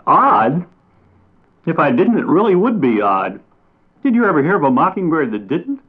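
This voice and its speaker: A man voicing a touchy, proud male mockingbird who talks in a high, excitable, indignant way. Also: normal speed, slightly rough. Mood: agitated. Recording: tinny phone-like audio.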